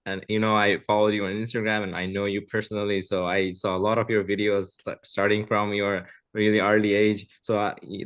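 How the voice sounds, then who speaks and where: A man's voice: on the low side, fairly bright tone, clean tone. A young man hosting a casual interview, talking with lots of hesitant fillers. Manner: hesitant, many "uh" and "um" fillers, friendly. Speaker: podcast host.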